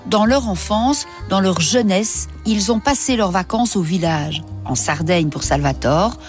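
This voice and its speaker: A woman delivering scripted documentary narration in a measured, composed way. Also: mid-range voice. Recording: music bed under narration.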